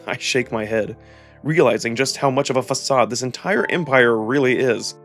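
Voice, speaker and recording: A man's voice: medium pitch, normal speed, soft background music. A man giving a dramatic, introspective first-person narration of a visual novel. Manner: expressive, introspective storytelling.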